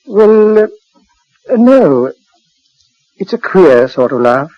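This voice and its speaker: An actor playing a genial, courteous British asylum doctor, faintly patronising, in 1940s radio acting style. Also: male voice, sits high, very animated, takes it slowly, dry recording, heavily acted. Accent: British English. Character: genial, matter-of-fact male asylum doctor. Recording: dull, lo-fi 1940s radio recording.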